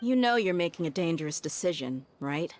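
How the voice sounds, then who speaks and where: A woman's voice: fairly low pitch, lively intonation, a little fast, a little mellow, a little hiss or hum, close to an everyday voice. A woman playing a bold, level-headed mercenary in a video game, speaking bluntly and firmly, challenging and loyal. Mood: blunt, stern. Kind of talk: dramatic video game voice acting.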